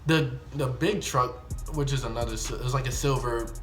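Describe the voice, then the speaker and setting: A man's voice: mid-range voice, moderate pace, soft background music. A young American man telling a story casually to viewers, rambling and slangy, often correcting himself. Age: young adult. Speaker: video storyteller.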